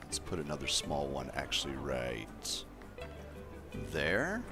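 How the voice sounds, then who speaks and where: Deep-voiced relaxed man streaming a game. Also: slow pace, over music. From Colorado, USA. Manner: relaxed, thinks aloud while building, chats to viewers. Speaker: livestreamer. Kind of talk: casual gameplay livestream chat.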